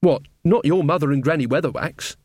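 A man playing a prim, high-voiced young queen. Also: brisk, darkish tone, slightly rough, no room sound. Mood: curious, alarmed. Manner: pompous, speaks of herself as "one". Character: young queen putting on regal airs, flustered.

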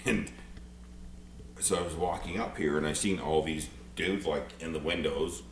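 A man's voice: low voice, steady tone, moderate pace, a little hiss or hum. A man in his forties, co-hosting a podcast in casual chat, rambling and blunt with frequent swearing. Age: 40s. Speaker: podcast co-host.

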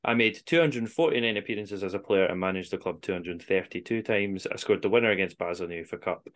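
Man, Scottish accent, lowish pitch, quiz host, informal clue and score reading. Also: fast. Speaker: quiz host on a football podcast.

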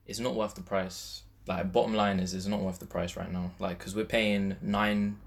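A man's voice: low voice, level tone, moderate pace, vocal fry. A young man speaks. His talk is casual and slangy, and he thinks aloud, circling back to restate his point. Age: young adult. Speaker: podcast co-host, university student. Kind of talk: casual chat.

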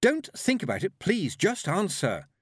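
A man's voice: on the high side, wildly swooping pitch, unhurried, husky, dry recording, clean studio sound, pitched above the performer's own voice, exaggerated voice. A man voicing a determined young witch girl in a storybook, speaking in an earnest, insistent way. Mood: urgent pleading. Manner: insistent, emphatic.

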